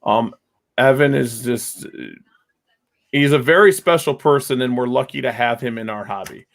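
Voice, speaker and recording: A man hosting a casual podcast chat, talking loudly and rambling with many fillers, his mic set about two feet away. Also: medium pitch, normal speed. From Wisconsin. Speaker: podcast host.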